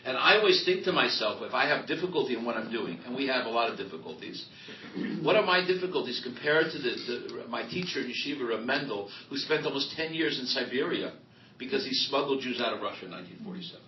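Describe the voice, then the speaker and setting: A middle-aged man, a rabbi and author, speaking emphatically and loudly in a live interview, telling stories. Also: mid-range voice, lively intonation, quick pace, slight background noise. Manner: emphatic, loud, storytelling. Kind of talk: live panel interview before an audience. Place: event hall with audience. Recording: roomy hall, distant mic, dull lo-fi sound.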